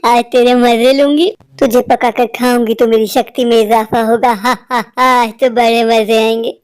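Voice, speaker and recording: A woman's voice: a little high, little pitch movement, normal speed, darkish tone, smooth, natural voice. A woman voicing a cruel, hungry witch in a cartoon story, menacing, taunting and boastful. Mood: gloating, hungry. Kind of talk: Hindi cartoon story acting.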